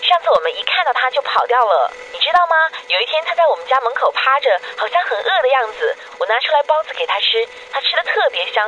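A woman's voice: high-pitched, big pitch swings, fast talker, few pauses, bright, forceful tone, exaggerated voice. A woman playing a devoted, wistful young girlfriend leaving affectionate, confiding voicemails in a radio drama. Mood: fond, reminiscing. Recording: dull noisy answering-machine message.

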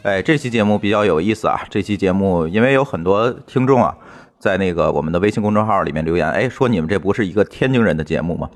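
A man's voice: low voice, lively intonation, fast. A man hosting a podcast, giving a casual, chatty introduction to listeners. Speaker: podcast host.

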